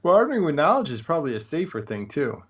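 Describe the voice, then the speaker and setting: A man's voice: on the high side, very animated, quick pace, husky. A man co-hosting a casual talk show, agreeable and joking, on a slightly dull lo-fi recording. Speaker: podcast co-host. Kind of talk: casual chat, brief interjections.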